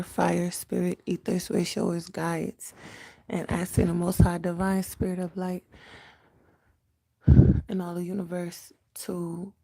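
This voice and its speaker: A woman with a raspy, cracking voice, working as a spiritual medium and leading an earnest prayer on a livestream. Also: lowish voice, dark tone. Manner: earnest, prayerful, repeats invocations.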